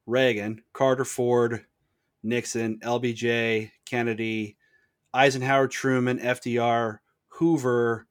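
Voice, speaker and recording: A man in casual conversation runs eagerly through facts and swears offhandedly. He hosts trivia and speaks at a mid pitch. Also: level tone, slow pace, smooth. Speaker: trivia host. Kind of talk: casual chat.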